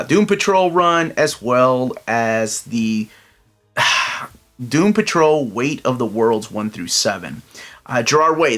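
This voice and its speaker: A man's voice: medium pitch, a bit bright. Chatty middle-aged man reviewing comic books. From Peru. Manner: chatty, enthusiastic, rambling asides with fillers. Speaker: comic book collector channel host. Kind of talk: casual solo review vlog.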